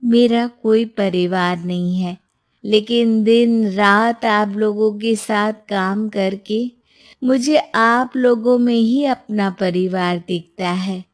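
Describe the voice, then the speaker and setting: Woman voicing a slow-spoken, determined young businesswoman. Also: mid-range voice, fairly even intonation, natural voice. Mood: earnest. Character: determined, principled young businesswoman in a moral tale.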